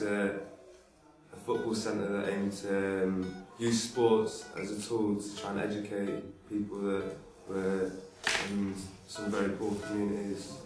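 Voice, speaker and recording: A man's voice: on the low side, darkish tone. A man in his twenties earnestly answering interview questions about volunteering. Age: 20s. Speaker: returned volunteer. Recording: echoey room from far off.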